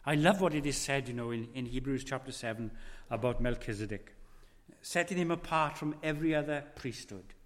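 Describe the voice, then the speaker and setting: Reverent male preacher in a reverberant hall. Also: mid-range voice. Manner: slow, weighty preaching with dramatic drops in volume. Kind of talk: sermon.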